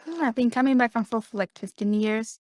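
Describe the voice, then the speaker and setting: A woman from Peru in her thirties, a podcast guest talking in a relaxed way full of "like" fillers. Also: fairly high pitch, a little fast, dry recording. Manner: chatty, with frequent "like" fillers. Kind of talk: conversational interview.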